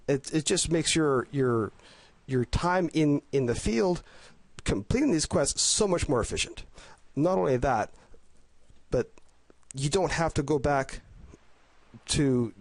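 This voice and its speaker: A man with a head cold talks through a game guide, his voice a bit stuffy and nasal. He sounds eager but keeps stumbling and restarting his sentences. His pitch sits in an ordinary middle range, and the recording is dry with no room echo. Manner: enthusiastic, halting, with many restarts and fillers. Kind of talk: casual gameplay voice-over commentary. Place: at home.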